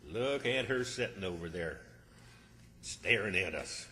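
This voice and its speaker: A man's voice: low voice, lively intonation, measured pace, bright, forceful tone, slight background noise, heavily acted. Man playing blustering Southern country fellow, echoey hall. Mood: exasperated. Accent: American South, rural. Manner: folksy, blustering, exasperated. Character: flustered, bossy rural Arkansas man, scheming and exasperated. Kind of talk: old-time radio comedy acting. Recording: echoey hall, long reverb.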